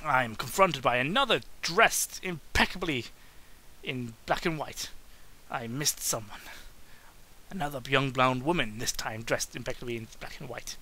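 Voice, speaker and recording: Guy doing a mocking dramatic book reading, bright voice, swoops all over, stumbles a bit. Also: mid-range voice, lively intonation, normal speed, low-quality recording. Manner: theatrical, mocking, often stumbling over words. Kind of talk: comedic dramatic book reading with muttered asides.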